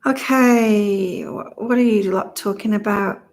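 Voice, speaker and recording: A woman hosts a relaxed online reading session with a slight morning croak in her voice. She chats, laughs often and reads viewers' comments aloud before turning to the cards. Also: mid-range voice, takes it slowly. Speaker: psychic reader and channel host. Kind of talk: casual livestream chat with card readings.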